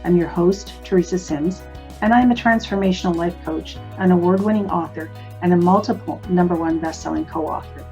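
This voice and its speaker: A woman's voice: on the low side, brisk, dark tone. A woman hosting a radio talk show as a life coach, welcoming her guest in a friendly, conversational way. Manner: welcoming, scripted intro then conversational. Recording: dull lo-fi sound with faint music.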